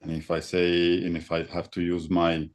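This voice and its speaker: Deep-voiced man presenting on a video call. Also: normal speed. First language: Arabic and Italian. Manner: relaxed, hesitant, with fillers. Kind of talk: casual online presentation.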